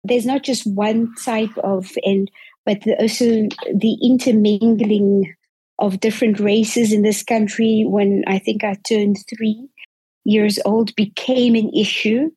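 Slow, husky-voiced woman. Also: medium pitch, fairly even intonation, warm tone, no room sound, poor audio. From South Africa. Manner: slow, hesitant, repeating words. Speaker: guest. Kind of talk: casual interview testimony.

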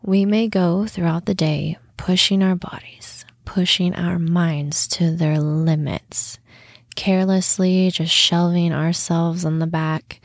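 Slow, gravelly-edged woman guiding a meditation. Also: on the low side, steady tone, dry recording, clean studio sound. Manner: soothing, unhurried, with long pauses and breathy softened phrase endings. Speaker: podcast host and meditation guide. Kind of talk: guided meditation narration.

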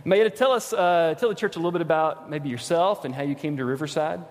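A man talks live to a congregation in the role of host and interviewer. His voice sits a little high for a man and rings with the long reverb of a big hall. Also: fast talker. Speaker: church host inviting a guest on stage. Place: church stage in a large room.

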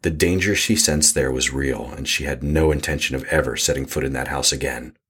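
A man narrating a scripted horror audio drama as an ominous storyteller with a husky voice. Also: a little fast, fairly bright tone, heavily acted. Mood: foreboding. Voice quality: husky, very deep.

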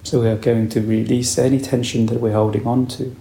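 Gravelly, mellow man guiding meditation. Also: medium pitch, roomy sound. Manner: calm, unhurried, soothing instruction. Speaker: meditation teacher. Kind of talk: guided meditation.

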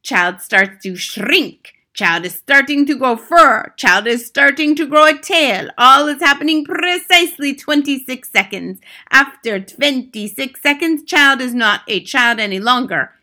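Storybook old witch queen, high, piercingly bright, commanding, bloodthirsty, triumphant, broken foreign-sounding grammar. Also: lively intonation, unhurried, heavily acted. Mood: gloating, triumphant. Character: gloating, wicked old witch leader, imperious and bloodthirsty.

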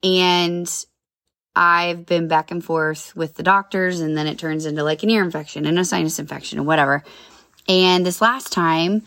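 This is a woman in her thirties chatting on her own podcast, upbeat and full of side notes. Her voice sits low, and a cold leaves it a little nasal and congested. The sound is dry and close, with no room around it. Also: fairly even intonation, moderate pace, a bit bright, no room sound. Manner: chatty, upbeat, rambling with asides. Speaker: podcast host. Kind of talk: casual solo podcast chat.

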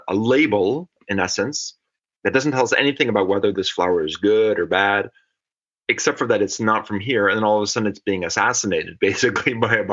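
Low-voiced thoughtful man, lo-fi sound. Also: fairly bright tone. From California. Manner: thoughtful, measured, with restarts. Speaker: ecologist guest. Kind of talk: conversational podcast interview. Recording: dull lo-fi audio.

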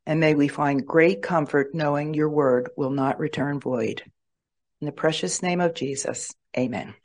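A speaker leading a reverent, measured opening prayer in a church hall. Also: medium pitch. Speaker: prayer leader.

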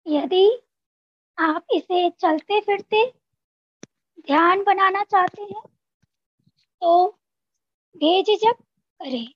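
Very slow, high-voiced Indian woman guiding relaxation. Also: dry recording, muffled recording. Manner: slow, pausing between short phrases, instructing gently. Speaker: guided relaxation narrator. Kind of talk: scripted guided meditation narration.

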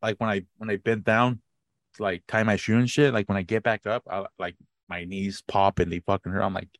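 A man's voice: on the low side, fairly even intonation, fairly quick, no echo. A man in his late twenties co-hosting a podcast, chatting casually, rambling and swearing freely with frequent laughs. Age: 20s. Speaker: podcast co-host. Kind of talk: casual chat.

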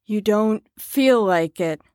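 A woman's voice: medium pitch, animated, takes it slowly, warm tone, no room sound. A woman in an audio drama playing a firm carer on a patient ward, with a dry, exasperated manner.

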